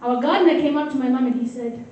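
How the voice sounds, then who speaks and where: A woman's voice: sits high, fast talker, darkish tone, distant mic, slight background noise. A woman from Kenya doing a stand-up comedy set, playfully teasing her audience. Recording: echoey hall heard from afar with crowd. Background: audience laughter and crowd murmur.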